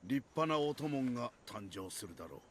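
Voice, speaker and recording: A man's voice: mid-range voice, sing-song, quick pace, a little hiss or hum, lightly acted. A man playing a dignified older village leader in a Japanese video game, with grave, stately, approving speech.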